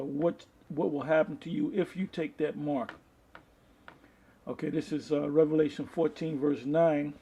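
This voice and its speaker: A man preaching informally online, reading aloud and commenting in a halting way, often restarting his phrases. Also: a little high, warm tone, slightly rough, highs cut off. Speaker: preacher reading articles and scripture to an online audience.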